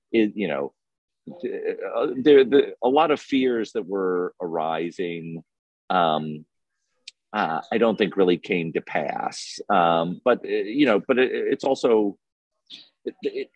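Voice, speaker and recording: A man speaking as a guest in an informal panel discussion, choosing his words haltingly and carefully. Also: low voice, slightly rough, dry recording. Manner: hesitant, halting, measured phrasing. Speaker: film festival programmer, panel guest.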